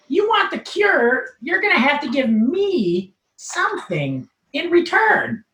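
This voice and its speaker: A performer voicing a smug, nerdy young man with a squeaky, nasal, wheedling sweet-talk. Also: sing-song, slow pace, bright, forceful tone, exaggerated voice. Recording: roomy, echoing live room.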